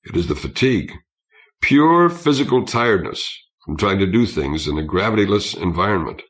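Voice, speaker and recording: A man voicing a tired, thoughtful woman aboard a starship in an audiobook, speaking tentatively and softly. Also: low voice, animated, normal speed. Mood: concerned.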